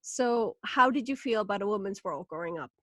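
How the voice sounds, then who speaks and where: A woman's voice: mid-range voice, animated, a little fast, a bit bright, no room sound, studio quality. A woman hosting a casual interview, speaking in a loose, friendly way. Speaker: interview host.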